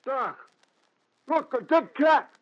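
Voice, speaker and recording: An actor playing a cautious, kindly, uneasy judge in a 1940s radio thriller. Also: male voice, very high voice, lively intonation, takes it slowly, fairly bright tone, exaggerated voice. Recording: muffled 1940s radio recording.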